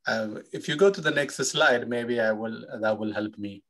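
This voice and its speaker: Measured man from Ethiopia presenting. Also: mid-range voice, a bit bright. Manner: measured, with frequent "uh" fillers. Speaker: engineering professor, webinar panelist. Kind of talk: presenting slides in a webinar.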